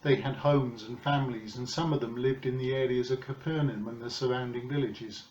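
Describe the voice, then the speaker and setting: A man in his sixties with a British accent, giving a calm, measured, earnest sermon from his living room. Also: medium pitch, a little hiss or hum. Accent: British English. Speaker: preacher. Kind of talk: recorded home sermon. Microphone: camera in front of him, seated. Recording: roomy, slightly dull home recording.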